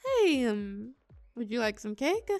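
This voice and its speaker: A woman plays a shy young wallflower in an intimate audio roleplay. Her pitch swings widely while she talks at an unhurried pace, halting bashfully and teasing as she goes. There is a little creak in the voice, and music sits behind it. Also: no room sound, full character voice. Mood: teasing, friendly. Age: young adult. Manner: bashful, halting, giggly, expressive. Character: shy, awkward wallflower birthday girl, young adult, warmly teasing. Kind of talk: scripted audio roleplay, natural intimate conversational acting.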